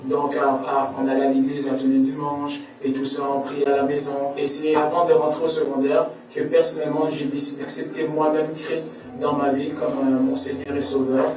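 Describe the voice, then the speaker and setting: A man's voice: a little high, monotone, normal speed, few pauses, a little mellow. A nineteen-year-old man sharing his faith story in an earnest, slightly hesitant way. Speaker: young church member. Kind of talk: recorded youth testimony. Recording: very echoey room, from far off. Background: music.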